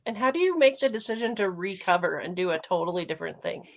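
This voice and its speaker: A woman's voice: on the low side, lively intonation, quick pace, rough recording. A woman hosting a casual book club chat, asking questions in an informal way over a video call. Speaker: book club host and interviewer.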